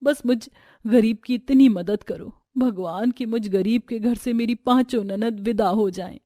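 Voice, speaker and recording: A woman's voice: fairly high pitch, measured pace, mellow, no room sound, studio quality. A woman voicing a poor, devoted and humble sister-in-law in an earnest, pleading way for a Hindi family story. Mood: pleading, worried.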